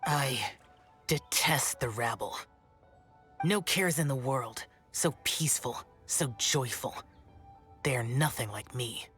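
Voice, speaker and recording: A man's voice: medium pitch, slow pace, a bit bright, faint music behind. A man playing an aloof, brooding young immortal guardian warrior in a video game, speaking in a solemn, formal and disdainful manner. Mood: bitter.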